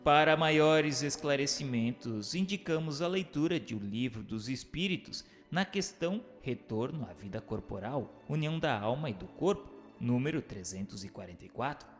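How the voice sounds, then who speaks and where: A man narrating and posing questions from a religious text in a formal, measured, didactic way. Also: mid-range voice, animated. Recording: hall-like reverb, music bed.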